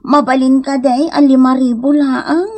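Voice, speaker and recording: A very high-pitched village gossip with an Ilocano accent. She is a sharp-tongued, distraught mother who drags out her wailing, pleading words slowly in broad radio-drama style. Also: little pitch movement.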